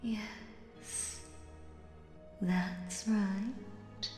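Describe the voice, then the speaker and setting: A woman playing a sinister, possessive stage magician in a roleplay audio, speaking theatrically and coaxingly in a slow, silky manner. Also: mid-range voice, fairly even intonation, a little mellow, echoey room, faint music behind. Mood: coaxing, teasing menace. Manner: theatrical, slow, silky, addresses listener as dear. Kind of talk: scripted roleplay audio acting.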